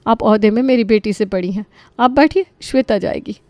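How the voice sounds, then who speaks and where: A woman's voice: mid-range voice, a little fast, a little mellow, dry recording, lightly acted. Woman playing a middle-aged story in-law, polite, gracious, insistent, dry recording.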